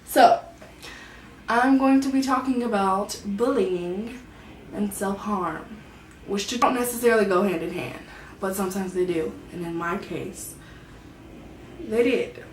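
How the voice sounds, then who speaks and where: A woman, vlogging about her own life in a soft, hesitant way, often searching for words. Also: medium pitch, unhurried, long pauses, a little hiss or hum. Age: 20s. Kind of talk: personal confessional vlog. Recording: echoey room, mic at a distance.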